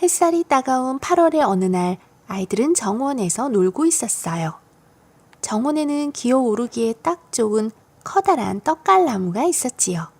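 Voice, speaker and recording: A woman's voice: a little high, very animated, normal speed, darkish tone, husky. A woman reading a scripted children's story as an audiobook narrator.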